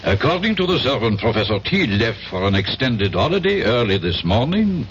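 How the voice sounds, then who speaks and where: An actor playing a formal, sceptical French police inspector in a radio mystery, speaking with a French accent and an insinuating manner. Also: male voice, medium pitch, very animated, moderate pace, a bit bright. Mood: suspicious, formal. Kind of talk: old-time radio mystery acting. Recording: dull, faintly noisy old radio recording.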